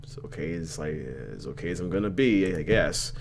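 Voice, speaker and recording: A man's voice: deep voice, a little fast, a little hiss or hum. A young man doing a let's play, reading a visual novel aloud with playful, reactive commentary.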